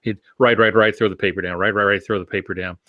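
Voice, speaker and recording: Older American male co-host, lowish pitch, measured explanatory talk, close dry recording. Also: fairly even intonation, dry recording, muffled recording. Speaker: podcast co-host. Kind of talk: conversational writing advice.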